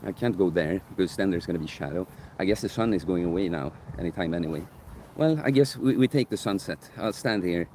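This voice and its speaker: A young man with a deep bass voice chatting casually outdoors while livestreaming a Q&A, rambling and correcting himself as he thinks aloud. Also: a little fast, hardly any pauses, darkish tone, slight background noise. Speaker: coach streaming live Q&A. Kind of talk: casual live-stream chat answering viewer questions. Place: outdoors in a park near sunset. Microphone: phone camera held by the streamer.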